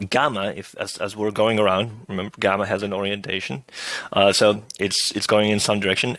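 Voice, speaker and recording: A lower-voiced middle-aged man with a touch of hoarseness, teaching university math from home. He talks in a relaxed, meandering way, pausing on fillers and tossing in "right?" as he works through each idea. The sound is dry and close, with no room around it. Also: dry recording. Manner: relaxed, thinking aloud with many "uh" and "right?". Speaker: math professor. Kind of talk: recorded lecture over slides.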